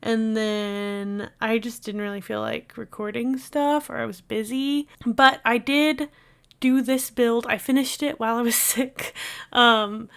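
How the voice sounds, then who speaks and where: A woman's voice: fairly high pitch, steady tone, a little slow, vocal fry, fairly bright tone, smooth. A young woman chatting casually over a game speed build, rambling with lots of filler words, her voice still recovering after she lost it. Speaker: video game builder and streamer.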